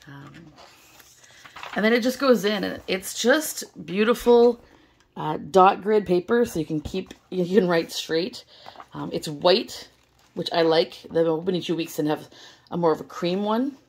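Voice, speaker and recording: Hoarse woman chatting through a lost voice. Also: on the low side, measured pace. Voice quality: gravelly, strained. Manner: chatty, many fillers, excited. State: hoarse, losing her voice. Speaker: stationery vlogger. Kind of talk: casual unboxing vlog. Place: indoors at a table, filming overhead. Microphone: camera held close over the table.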